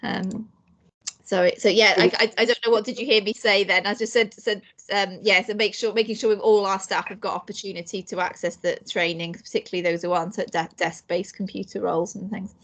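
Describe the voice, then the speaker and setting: A woman's voice: mid-range voice, steady tone, a little fast, a bit bright. A woman council cabinet member giving a hesitant, filler-heavy update in an online meeting. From Wales. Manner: hesitant, many restarts and fillers. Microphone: video call.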